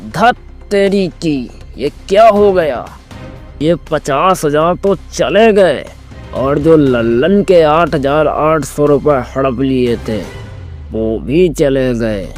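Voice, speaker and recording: A man's voice: fairly high pitch, expressive, takes it slowly, darkish tone, soft background music. A man playing a greedy, two-faced village headman in a Hindi cartoon moral story, with a fawning, theatrical manner. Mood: dismayed.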